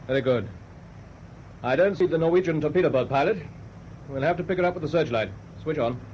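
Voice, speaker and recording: An actor playing a decisive naval commander on a ship's bridge in a radio drama, barking terse orders. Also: male voice, a little high, a little fast, a little mellow, natural voice. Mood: commanding. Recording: muffled, noisy radio play with ship sounds.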